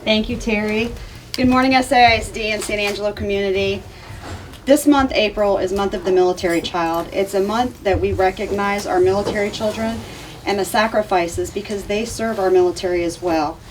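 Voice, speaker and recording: A woman's voice: medium pitch, steady tone, fairly quick. A woman serving as a school district's military liaison, giving a friendly welcoming introduction and laughing easily. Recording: distant mic with faint background noise.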